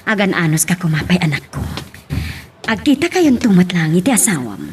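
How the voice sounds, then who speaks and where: A woman's voice: lowish voice, big pitch swings, moderate pace, darkish tone, breathy edge, exaggerated voice. A woman playing a caring, worrying older female figure in an Ilocano audio drama, with an Ilocano accent. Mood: concerned. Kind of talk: Ilocano radio-style drama acting. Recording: noisy ambience behind the voice.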